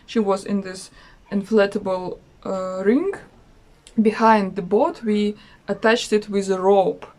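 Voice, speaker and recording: A woman in her thirties with a Russian accent, chatting casually about a trip in a travel vlog with many pauses and fillers. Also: mid-range voice, steady tone, a little mellow. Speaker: travel vlogger.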